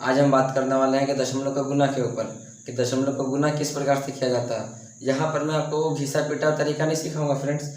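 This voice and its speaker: A young man teaching math on a board, speaking softly with a sore throat, coughing and restarting his sentences. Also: mid-range voice, flat delivery, a little fast. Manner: repeats and restarts himself, coughs now and then. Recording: echoey room.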